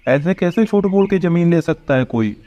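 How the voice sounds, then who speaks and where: A man's voice: a little high, lively intonation, normal speed, dark tone, natural voice. A man voicing a kindly, righteous village priest in a cartoon moral story. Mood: knowing. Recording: dull lo-fi audio with music behind.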